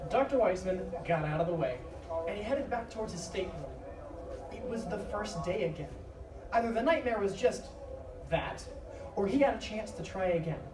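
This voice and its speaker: A woman's voice: medium pitch, animated, normal speed. A woman narrator reading a scripted dramatic story live. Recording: echoey live stage, distant noisy mic.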